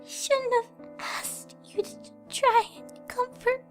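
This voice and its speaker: A woman's voice: very high voice, flat delivery, takes it slowly, soft background music, voice pushed up. A woman voicing a timid, distraught young female pony who stutters and hiccups through tears in a story reading. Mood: tearful, apologetic. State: crying.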